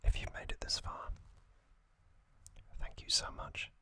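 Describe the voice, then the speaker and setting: Man whispering slowly, ASMR style. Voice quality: breathy, unvoiced whisper. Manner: slow, gentle, short phrases with long pauses. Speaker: ASMR video host.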